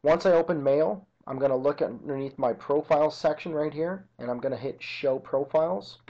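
A man's voice: on the high side, normal speed, dark tone. Man giving a tutorial, dull lo-fi audio. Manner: explanatory, methodical. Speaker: instructor. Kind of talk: software tutorial narration, informal step by step. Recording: dull lo-fi computer screen-recording audio.

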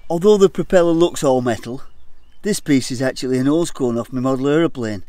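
A man at an ordinary middle pitch explains his homemade project in a plain, matter-of-fact way. He talks step by step in a garage workshop. The sound is caught on a phone camera he holds while he works. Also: brisk, dark tone, no room sound. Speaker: hobby boat builder. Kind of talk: casual DIY explanation.

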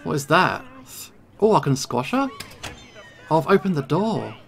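Let's play streamer, mid-range creaky voice, nervous self-talk. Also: mid-range voice, sing-song, measured pace, fairly bright tone. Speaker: video game streamer.

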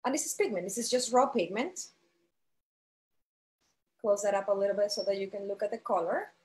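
A middle-aged woman giving a live instructional demonstration as an art teacher, speaking in measured, halting phrases and checking in with her listeners. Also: mid-range voice, a little mellow. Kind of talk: live instructional demo, explanatory.

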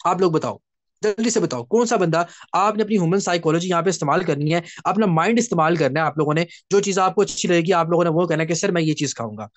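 A man's voice: fairly high pitch, no echo. A man with a Pakistani accent leading an informal online motivational training session, speaking in an emphatic, repetitive, instructive way with frequent check-back questions. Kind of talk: informal motivational coaching talk to online participants. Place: online video meeting.

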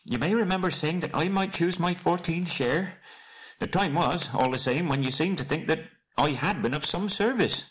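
A man voicing a small, timid male hobbit in an audiobook, squeaky and meek yet politely insistent. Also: moderate pace, close to an everyday voice, rough recording. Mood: flustered, polite.

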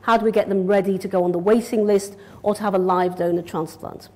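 A woman's voice: medium pitch, steady tone, fast, darkish tone. Direct middle-aged woman giving a lecture. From Yorkshire, England. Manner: direct, organised, conversational. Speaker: consultant nephrologist. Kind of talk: public lecture. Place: lecture room, indoors. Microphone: lectern mic.

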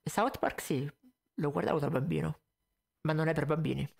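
A man's voice: on the high side, normal speed, creaky, a little mellow. A man in his twenties doing critical reaction commentary into a close desk microphone, blunt, pedantic and sarcastic. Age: 20s. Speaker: reaction video host. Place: indoor home streaming setup. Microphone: close desk condenser mic with pop filter.

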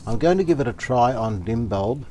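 A man giving casual, unhurried commentary as he works, thinking aloud. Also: medium pitch, expressive, a little mellow. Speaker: host, radio restorer. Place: small cluttered indoor workshop. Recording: clear background noise, home workshop.

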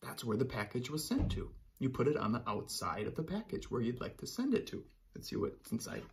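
A man in his thirties, a teacher reading a picture book aloud to young children in an encouraging, animated way. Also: medium pitch, brisk, creaky, a little hiss or hum. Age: 30s. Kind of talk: children's storybook read-aloud.

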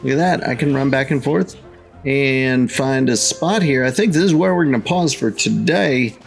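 A man's voice: medium pitch, normal speed, gravelly, soft background music. A man hosting a casual gaming let's-play, with playful interjections and exclamations. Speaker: gaming host.

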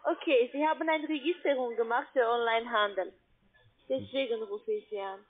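A woman with a foreign accent and non-native phrasing, giving an insistent sales pitch as a call centre agent. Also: brisk. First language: not German. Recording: band-limited phone call audio.

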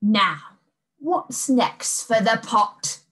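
A woman's voice: on the high side, lively intonation, fairly bright tone, exaggerated voice. A woman voicing a hungry, grumpy male beast who growls and huffs over his soup pot. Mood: demanding. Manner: slow, growling, huffy.

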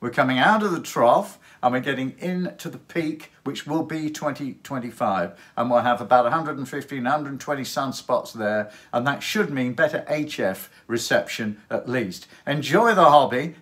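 A man's voice: medium pitch, animated, a little slow, few pauses, fairly bright tone. An older man of about sixty presenting to camera in a chatty explainer style, asking rhetorical questions and making playful asides. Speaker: presenter of a hobby radio channel. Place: small attic radio room, indoors.

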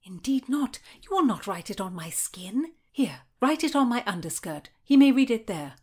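A Tudor lady-in-waiting, voiced by a woman narrator, slightly high in pitch. She is earnest and sharp-tongued, scolding yet fiercely loyal, in the manner of a historical audiobook. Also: very animated, moderate pace, slightly rough. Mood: exasperated.

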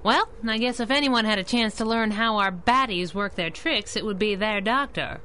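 An actress playing a plucky, wisecracking masked crimefighter in a 1930s-style radio drama, sassy and slangy. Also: female voice, medium pitch, moderate pace, sharp tone, a little hiss or hum, close to an everyday voice. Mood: breezy, wry.

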